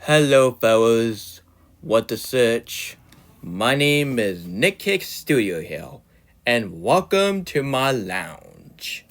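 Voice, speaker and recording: A young man delivers a heated rant to his camera, scolding his target by name. He stutters often, restarting and repeating himself. His voice sits at an ordinary middle pitch. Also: very animated, drawn-out words. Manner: scolding, addresses the target directly. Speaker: YouTube ranter.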